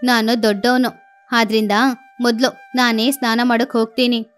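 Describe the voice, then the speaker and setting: A woman's voice: fairly high pitch, little pitch movement, normal speed, soft background music, voice pushed down, natural voice. Cartoon boy parrot, voiced by a woman: young, selfish, boastful, entitled. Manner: self-assured, boastful.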